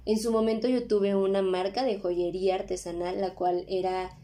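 A woman's voice: mid-range voice, level tone, normal speed, mellow. A woman in her twenties with a Mexican accent, hosting a podcast in a casual, conversational monologue with frequent tag questions. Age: 20s. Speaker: podcast host.

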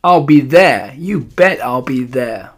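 A man voicing a greedy, cocky little boy in a storybook, loud, eager and demanding. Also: sits high, big pitch swings, normal speed, vocal fry, pitched above the performer's own voice, full character voice.

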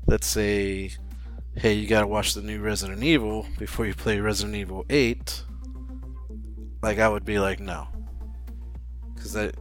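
Male co-host, slightly low voice, informal and matter-of-fact chat over faint music. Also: level tone, moderate pace, long pauses. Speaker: podcast co-host. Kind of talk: casual podcast chat. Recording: background music under the voice.

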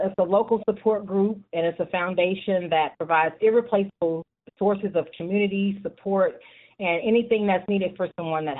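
A middle-aged woman speaking earnestly and at length as a guest in a radio interview, with passionate conviction. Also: mid-range voice. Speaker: guest, youth support group chapter leader. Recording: narrow tinny phone-like line.

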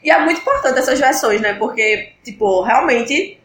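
Uma mulher com gripe apresentando um podcast em conversa descontraída, falando de forma animada e dispersa. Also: female voice, a little high, animated, normal speed, echoey room, a crowd in the background. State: has a cold, congested. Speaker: podcast host. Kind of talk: casual podcast chat.

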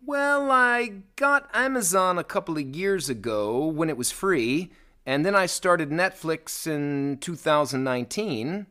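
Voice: mid-range voice, animated, a little slow, a bit bright. A speaker reading scripted lesson answers with a dry, wry delivery.

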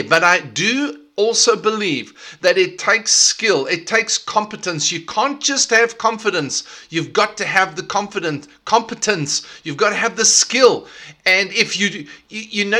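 Bright high South African man. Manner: energetic and emphatic, with repetitive restarts. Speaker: podcast host. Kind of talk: solo leadership podcast talk.

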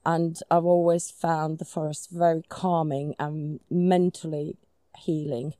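A middle-aged Finnish-accented woman with a low, mellow voice talks in an easy, searching way, stopping on ums and restarting her sentences. The sound is close and dry, though she is out in a forest. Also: flat delivery, a little slow, no room sound. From Finland. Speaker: guest, society chairman. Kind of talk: conversational interview. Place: outdoors in a forest.

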